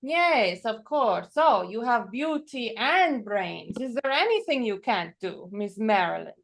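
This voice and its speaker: A woman softly asking admiring questions during a comedy show, heard from a distance. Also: fairly high pitch, animated, measured pace.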